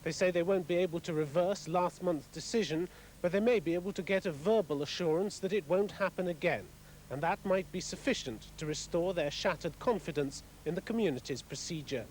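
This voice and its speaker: Male correspondent with a highish voice. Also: a little fast, a little mellow, a little hiss or hum, low-quality recording. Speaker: foreign news correspondent. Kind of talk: scripted report narration.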